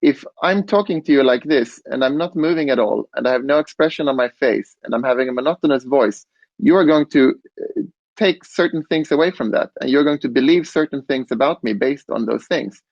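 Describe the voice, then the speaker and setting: A man on a conference panel explaining design, relaxed, with fillers and restarts. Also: medium pitch, brisk, gravelly, no room sound, dull audio. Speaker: robot interaction designer.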